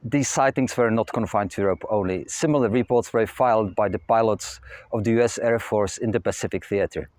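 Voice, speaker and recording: A young man with a Czech accent, hosting his own channel and telling stories in a steady, matter-of-fact way. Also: fairly low pitch, dry recording. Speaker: channel host. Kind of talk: scripted narration. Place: outdoors near a castle.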